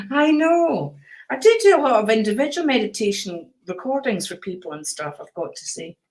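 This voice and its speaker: An older woman with a Scottish accent, hosting a live stream of card readings and chatting warmly to viewers, calling them darling and sweetheart in a calm, soothing voice. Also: a little high, normal speed, low-quality recording.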